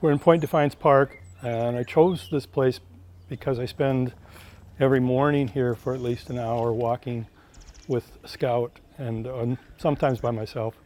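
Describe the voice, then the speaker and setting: A poet speaking in a thoughtful, halting way, searching for his words during an outdoor interview in a park. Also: medium pitch, fairly even intonation, a little mellow, slight background noise. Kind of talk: reflective interview talk.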